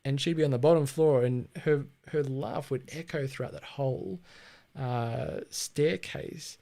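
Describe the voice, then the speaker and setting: A man's voice: medium pitch, measured pace, creaky, warm tone. Laid-back Australian man. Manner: laid-back, chatty, with frequent ums and restarts. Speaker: livestream host teaching branding. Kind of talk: casual livestream talk.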